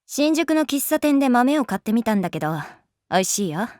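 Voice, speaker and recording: A woman's voice: a little high, sing-song, fairly quick, a little mellow, no room sound, clean studio sound. A woman playing a pragmatic, teasing female schoolteacher in a Japanese audio drama, moving between polite firmness and casual bluntness.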